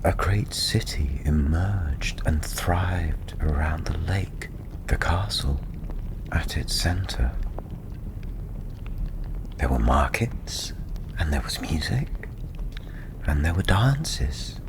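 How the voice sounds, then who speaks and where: A man softly telling a fantasy story in ASMR style, breathy and often near a whisper, gentle and unhurried with long pauses. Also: rumbling low, lively intonation, background noise.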